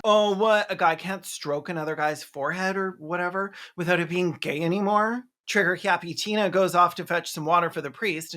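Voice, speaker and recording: Snarky, deadpan movie recap commentator. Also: medium pitch. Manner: snarky, deadpan asides, mock-dramatic exclamations. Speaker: video essayist, film reviewer.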